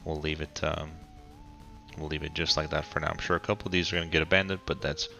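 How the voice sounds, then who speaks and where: Deep, raspy man with a cold. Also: faint music behind. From Colorado. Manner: relaxed, halting, frequent ums and restarts. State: getting over a cold. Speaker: game commentator. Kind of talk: casual gameplay commentary.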